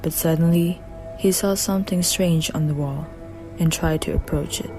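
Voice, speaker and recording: A woman with a very low voice reads the narration of an amateur radio drama. Clear music plays under her. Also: steady tone, moderate pace. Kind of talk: scripted narration.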